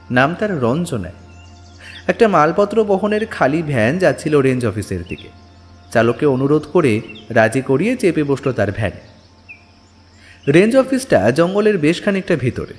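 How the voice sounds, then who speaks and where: A male storyteller reads scripted fiction dramatically. His fairly high voice moves in lively contours, carried by long reverb over music. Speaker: audiobook narrator.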